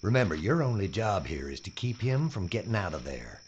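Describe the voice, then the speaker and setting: Guy doing a cocky bounty hunter, lowish voice that swings up and down, noisy bg. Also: big pitch swings, fairly quick, dull audio. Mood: commanding. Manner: mocking, drawling, menacing. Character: swaggering gruff male bounty hunter Lamort. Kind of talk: audio drama acting.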